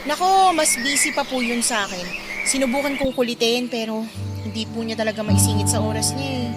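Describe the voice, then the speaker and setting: An actress playing a proud, strict and critical young eldest sister in a Tagalog radio drama, with a pointed delivery. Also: female voice, a little high, fairly quick, lightly acted. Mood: warm. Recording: loud music under the dialogue.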